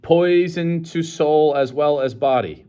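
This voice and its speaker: A man voicing a young, earnest outsider who preaches in a loud, ringing voice and pleads with a crowd. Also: lowish voice, flat delivery, fast, natural voice. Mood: pleading. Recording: dull lo-fi recording.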